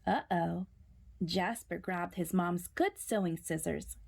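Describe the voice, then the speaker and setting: A woman storyteller reading a children's picture book aloud in a dramatic, suspenseful way, sometimes hushing her words. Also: on the low side, slow pace, creaky.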